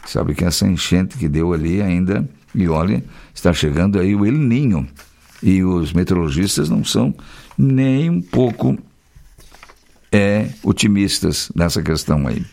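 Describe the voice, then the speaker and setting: A man with a somewhat low voice reads regional news on the radio. He breaks into casual, folksy remarks between stories. His delivery mixes read copy with easygoing chat. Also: animated, a little slow, vocal fry, a little mellow. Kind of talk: reading local news with casual asides.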